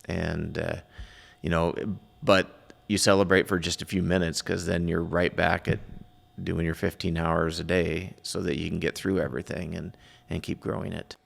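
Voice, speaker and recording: Male interview guest, middle-aged, thick vocal fry, plainspoken recollections, recorded with long room reverb. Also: low voice, little pitch movement, normal speed. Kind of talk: casual interview answers, personal recollection.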